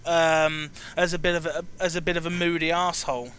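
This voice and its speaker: A man's voice: a little high, flat delivery, fairly quick, fairly bright tone, clean tone. A man in his twenties hosting a casual chat about games, earnest and often repeating and rephrasing himself mid-thought. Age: 20s. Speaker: interviewer and show host.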